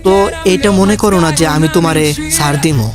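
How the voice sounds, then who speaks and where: Guy doing old anime villain, highish voice thru voice changer, boastful n teasing, music playing. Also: a little fast. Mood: wounded, threatening. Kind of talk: comic amateur anime parody dub.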